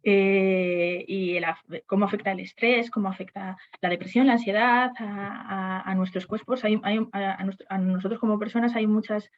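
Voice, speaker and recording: A woman's voice: medium pitch, lo-fi sound. A woman on a webinar panel discussing HR topics, speaking hesitantly with frequent restarts and fillers.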